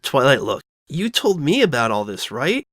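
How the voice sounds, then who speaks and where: A woman voicing a loyal, reassuring young boy dragon who talks plainly and gently insists, in an audio drama. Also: very deep voice, very animated, normal speed, no room sound, studio quality, voice pushed down, full character voice. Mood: earnest, insistent. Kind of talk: all-dialogue fan audio drama.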